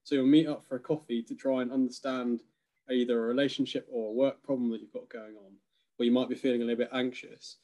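A man in a casual conversation, thinking aloud with frequent hesitations and soft laughs. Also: mid-range voice, fairly even intonation, fast talker.

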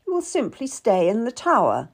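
A woman's voice: a little high, wildly swooping pitch, moderate pace, vocal fry, husky, voice pushed up, heavily acted. A woman voicing a brusque, condescending, calculating Tudor nobleman in a dramatised audiobook. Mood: persuasive, calculating. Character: curt, haughty Tudor nobleman, busy and calculating.

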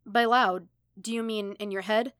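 A woman's voice: mid-range voice, steady tone, normal speed, a bit bright, clean tone, no room sound, clean studio sound, close to an everyday voice. A woman voicing a casual, blunt but caring older male mentor in a story, talking steadily and reassuringly. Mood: gently probing.

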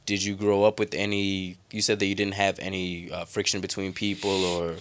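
Low-voiced young man, loose chat. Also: flat delivery, normal speed, a bit bright. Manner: loose talk, voice jumps high for quoted asides. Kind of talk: casual conversation.

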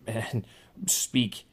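A man with a naturally loud voice hosting a casual solo podcast, hesitant and often correcting and rephrasing himself as he goes. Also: drawn-out words. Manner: hesitant, self-correcting, circling back to rephrase. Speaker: podcast host. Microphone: small handheld recorder or podcast microphone.

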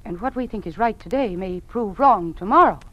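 An actress playing an earnest, troubled young schoolteacher in an old-time radio drama, with careful, precise diction. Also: female voice, medium pitch, lively intonation, a little fast, a bit bright. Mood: careful, controlled. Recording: muffled old radio recording.

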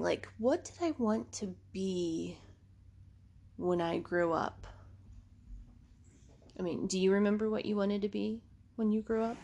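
A woman's voice: medium pitch, vocal fry, a little mellow. A woman, hosting a podcast in a casual, rambling monologue, congested from allergies and laughing often. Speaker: podcast host.

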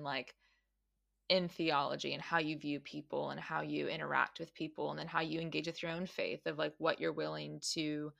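A woman's voice: deep voice, creaky, sharp tone. A woman hosting a podcast, talking in a thoughtful, casual way, with frequent restarts as she works through her ideas. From Mexican American. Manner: thoughtful, many restarts and fillers. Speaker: podcast host. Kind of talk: casual conversational interview. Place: Australia.

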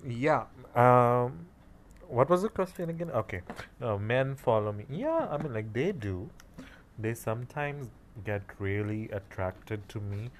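A man's voice: mid-range voice, animated, a little slow, a little hiss or hum. A man with an Indian English accent, a guest chatting casually and playfully, laughing often. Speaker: interview guest, cross-dresser. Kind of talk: casual chat.

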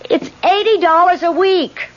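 A woman's voice: very high-pitched, moderate pace. An actress playing a prim, anxious boarding house landlady, proper and hesitant, in a 1970s radio drama. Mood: puzzled. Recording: dull, noisy old radio broadcast.

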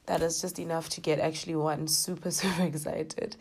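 Low, gravelly South African woman podcaster. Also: little pitch movement, a little mellow. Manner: earnest and heartfelt, many "you know"s, drawn-out phrasing. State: slightly hoarse, coming down with a cold. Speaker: podcast host. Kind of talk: reflective personal podcast talk.

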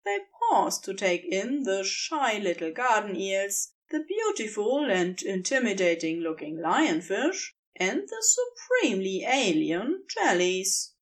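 A woman narrating scripted fiction in an expressive, dramatic storytelling manner. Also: mid-range voice, slow pace, few pauses.

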